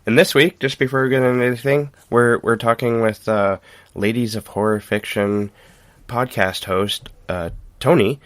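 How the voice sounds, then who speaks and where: Low-voiced man hosting a casual podcast. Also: a bit bright, no room sound. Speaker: podcast host.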